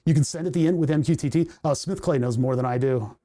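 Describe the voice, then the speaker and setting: Livestream host, male, fairly high voice, mellow tone, rambling and chatty, a bit hoarse. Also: fast. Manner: rambling, chatty, laughs often, reads chat aloud. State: voice starting to go hoarse. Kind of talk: casual livestream chat while coding.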